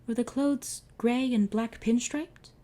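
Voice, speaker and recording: A woman voicing a brisk young woman who asks questions and explains. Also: fairly high pitch, fairly even intonation, mellow, voice pushed up. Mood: inquisitive.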